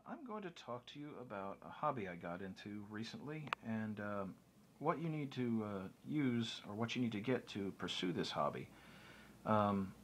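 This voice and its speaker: A man's voice: fairly low pitch, slight background noise. An American man giving a casual, unscripted explanation as a video blogger, talking in a rambling, conversational way. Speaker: video blogger, radio hobbyist.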